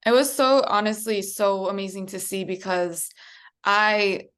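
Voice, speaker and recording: Woman interviewer, mid-pitched voice, upbeat, keen questions. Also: measured pace, a bit bright, clear, resonant tone.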